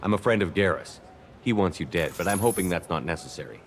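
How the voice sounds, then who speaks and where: Loyal military leader, game cutscene. Low register, controlled, persuasive, slight background noise. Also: male voice, fast. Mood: firm, controlled. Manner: steady, controlled, reasoning. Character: calm, firm human military commander, persuasive and loyal. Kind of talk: video game dialogue acting.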